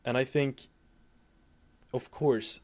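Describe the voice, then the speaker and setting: A young man from Sweden with a Swedish accent, speaking English as a second language as an interview guest, hesitant and halting with frequent restarts. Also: medium pitch, steady tone, a little mellow. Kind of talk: casual interview chat.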